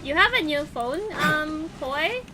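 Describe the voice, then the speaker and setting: A woman's voice: high-pitched, lively intonation, takes it slowly. A woman with a Filipino accent chatting casually with viewers as she hosts a game livestream, switching between English and Tagalog. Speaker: livestream host playing a video game. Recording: game music playing loudly behind. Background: loud video game music.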